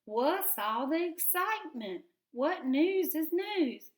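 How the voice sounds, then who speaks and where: A woman's voice: high-pitched, very animated, takes it slowly, reverberant, pitched above the performer's own voice, exaggerated voice. A woman voicing a hearty, teasing blind male mole. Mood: curious.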